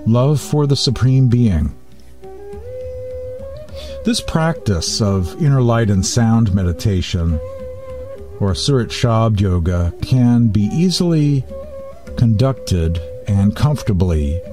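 A man hosting a spiritual radio talk, reading and explaining teachings in deliberate, short phrases. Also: fairly low pitch, animated, over music. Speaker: radio host.

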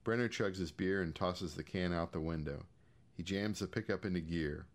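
A man's voice: sits low, moderate pace. An American man giving a scripted, audiobook-style narration of short fiction. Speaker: short story reader.

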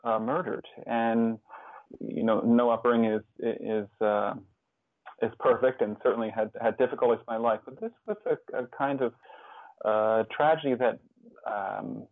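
A man being interviewed as a guest, answering reflectively in a halting, thoughtful way with pauses and repeated words. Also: medium pitch, steady tone, dry recording, narrow, tinny audio. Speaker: interview guest, author and hospice volunteer.

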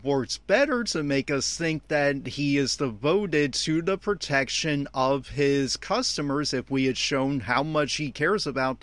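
A man's voice: fairly high pitch, fairly bright tone, no echo. A man giving off-the-cuff, opinionated audio commentary on an anime episode, speaking emphatically.

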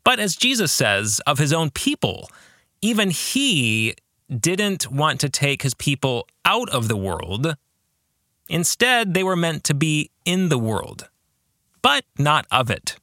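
A middle-aged man speaking as a podcast host in a reflective monologue, putting rhetorical questions to his listeners in measured, deliberate phrases with emphatic stresses. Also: on the high side, very animated, sharp tone, no room sound, clean studio sound. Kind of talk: reflective book-club podcast monologue on faith.

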